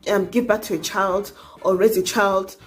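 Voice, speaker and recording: A woman's voice: mid-range voice, steady tone, normal speed, faint music behind. A young adult woman, talking earnestly to camera in a casual advice vlog, urging and coaxing with many asides. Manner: earnest, exhorting, frequent "you know". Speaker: vlogger.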